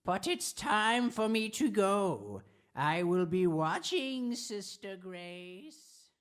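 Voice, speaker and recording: A woman's voice: medium pitch, very animated, slow. A woman playing a mad, taunting watcher in an improvised radio comedy, with a theatrical, cackling, riddling delivery. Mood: cryptic, gloating. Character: mad, taunting, cryptic watcher lurking above a dungeon.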